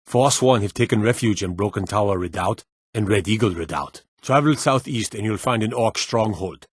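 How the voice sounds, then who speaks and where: An actor playing a gruff, watchful fantasy city guard in a video game, speaking in a stern, curt and authoritative manner. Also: male voice, fairly low pitch, animated, moderate pace, dry recording, clean studio sound. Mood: gruff, matter-of-fact. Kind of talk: scripted fantasy game dialogue.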